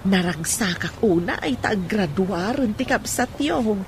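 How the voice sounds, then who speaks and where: A woman's voice: medium pitch, animated, unhurried, slight background noise. A woman playing a proud, devoted middle-aged mother in a radio drama, speaking in an earnest, emphatic way. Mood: proud, grateful.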